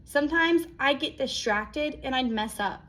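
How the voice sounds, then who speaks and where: Female storyteller, high voice, expressive picture-book read-aloud delivery with dramatic pauses. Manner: animated, acts out exclamations, dramatic pauses.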